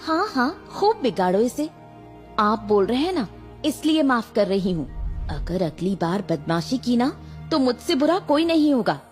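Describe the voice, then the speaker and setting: A woman's voice: fairly high pitch, very animated, measured pace. A woman voicing a strict, exasperated mother in a cartoon story, scolding in a huffy, sarcastic way. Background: background music.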